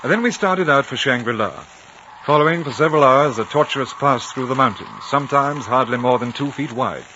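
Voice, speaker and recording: A refined British gentleman adventurer in an old radio drama. His voice is bright and mid-pitched, measured and reflective, on a dull, faintly noisy recording. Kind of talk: 1950s radio drama narration and dialogue.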